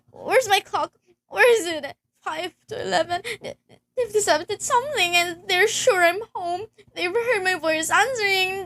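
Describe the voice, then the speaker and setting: A young woman acts out an anxious, demanding housewife in a radio drama. Her voice is extremely high and sharp, tearful and stammering with agitation. Light noise sits on the amateur recording. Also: exaggerated voice. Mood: frightened, tearful. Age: teenager. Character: frantic, demanding, nervous married woman home alone. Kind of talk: stage-like radio play acting.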